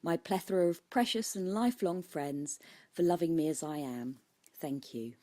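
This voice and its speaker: A woman's voice: on the low side, moderate pace, warm tone, dry recording. A woman with a British accent reading her own book aloud as scripted audiobook narration.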